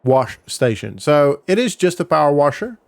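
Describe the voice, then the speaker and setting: A man's voice: a little high, very animated, normal speed, gravelly, a little mellow, no room sound. A man giving casual, informal roleplay commentary as a gaming vlogger, thinking aloud as he goes. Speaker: gaming vlog host.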